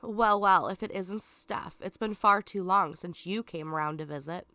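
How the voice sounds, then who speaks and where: A stuffed-up woman reading the lines of a scheming, sarcastic male lion who calls himself the rightful king, in a sly, condescending way with soft, whispered asides. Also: lowish voice, normal speed, no room sound. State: stuffed up from a cold.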